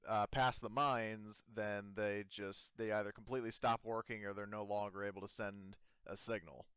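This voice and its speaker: A man's voice: on the low side, steady tone, fairly bright tone, clean tone, no room sound, natural voice. A man playing a hesitant, evasive colony administrator in a tabletop sci-fi game, hedging as he goes. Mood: halting, uneasy. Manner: halting, hedging. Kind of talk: improvised tabletop roleplay dialogue.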